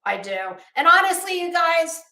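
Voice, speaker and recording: High-pitched, blunt woman livestreamer. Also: very animated, bright, forceful tone, reverberant. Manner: blunt, scornful, emphatic, with deliberate repetition. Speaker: livestream host. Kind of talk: opinionated livestream commentary to viewers.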